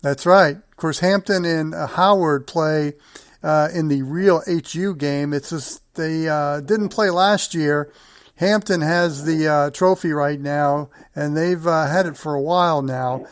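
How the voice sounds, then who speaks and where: A man's voice: a little high, measured pace, smooth, no room sound. A man hosting a sports show, running through matchups and results in conversation with a guest.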